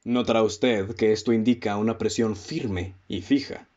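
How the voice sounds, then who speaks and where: Radio drama detective, male actor: lowish Mexican voice, composed, analytical, formal delivery, dull lo-fi sound. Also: steady tone, darkish tone, slight background noise, close to an everyday voice. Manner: formal, measured, explanatory. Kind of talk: radio play acting. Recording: dull lo-fi audio with music bed.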